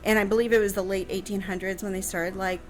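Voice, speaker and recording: A woman's voice: medium pitch, flat delivery, fast talker, faint music behind. A woman chatting casually on a radio show, answering a history question with lots of fillers and restarts.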